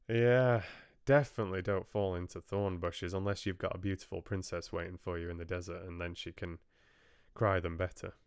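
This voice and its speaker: A man's voice: low voice, steady tone, moderate pace, pauses often, clear, resonant tone, dry recording, clean studio sound. A man hosting a live book club, chatting casually in a rambling, jokey way about stories. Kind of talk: casual chat, musing on fairy tales with live chat.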